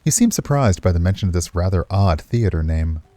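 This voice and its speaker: A man with a low, mellow voice reads out a visual novel. His narration is expressive and moves freely in pitch, mixed with casual streamer commentary. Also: brisk. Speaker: game streamer.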